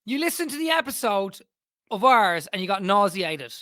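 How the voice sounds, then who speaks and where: A man co-hosting a podcast over an online call, chatting in an animated, mock-indignant and teasing way. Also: sits high, moderate pace, no echo, studio quality. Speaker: podcast co-host. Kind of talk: casual chat.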